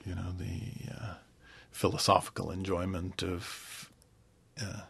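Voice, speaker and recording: Low husky thoughtful male pianist. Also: level tone, fairly bright tone. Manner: thoughtful, pausing to search for words. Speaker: concert pianist guest. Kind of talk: relaxed radio interview.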